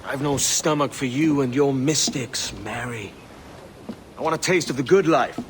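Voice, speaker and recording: A brash pirate captain played by a man in a video game. He speaks informally in a middling register, hungry and sure of himself. Ship and water noise sits clearly behind him. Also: mid-range voice, normal speed, lightly acted. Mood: cocky, defiant. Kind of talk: scripted video game dialogue.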